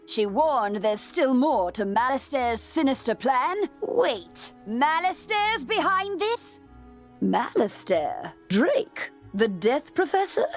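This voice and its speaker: An actress playing a brisk, gossipy, wry outcast alchemy professor in a video game. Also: female voice, sits high, heavily acted. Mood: surprised, gossipy. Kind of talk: video game character dialogue.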